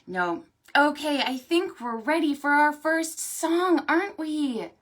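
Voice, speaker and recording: A woman hosting a storytime for preschoolers, talking to small children in an animated, sing-song way. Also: sits high, slow pace. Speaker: children's librarian and storytime host. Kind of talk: preschool storytime with stretches and songs.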